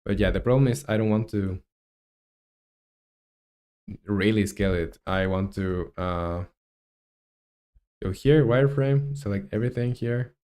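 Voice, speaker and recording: A man's voice: on the low side, unhurried, long pauses, warm tone. A young man from Chile in his twenties, chatting casually while he works on a game and reads chat aloud, with lots of fillers and restarts. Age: 20s. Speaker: game dev streamer.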